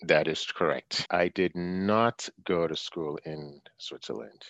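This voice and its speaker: A man's voice: sits low, slow, a bit bright, no echo, highs cut off. A middle-aged man, a guest in a casual interview, talking thoughtfully with many fillers and restarts. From Nigeria and the US.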